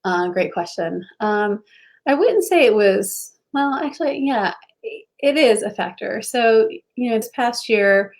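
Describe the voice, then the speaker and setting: A woman's voice: a little high, lively intonation, measured pace. Earnest woman candidate answering an interview. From Ohio, USA. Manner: earnest, reflective, many fillers and restarts. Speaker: school board candidate.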